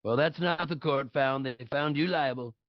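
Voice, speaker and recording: A man's voice: on the high side, normal speed, highs cut off, close to an everyday voice. A man playing a defensive, dismissive, blustery politician parody in a comedic table read.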